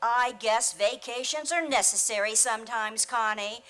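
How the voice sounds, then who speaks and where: Radio comedy role: genteel, encouraging landlady, played by an actress, high, bright voice. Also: normal speed. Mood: kindly, chatty. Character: kindly, chatty landlady over breakfast. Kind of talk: old-time radio comedy acting.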